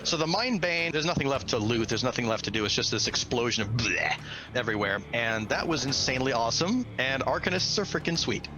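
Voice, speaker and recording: A man's voice: mid-range voice, lively intonation, brisk, background noise. A man working as game master, narrating a tabletop game with an enthusiastic, slangy and dramatic delivery. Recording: music behind the voice. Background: background music.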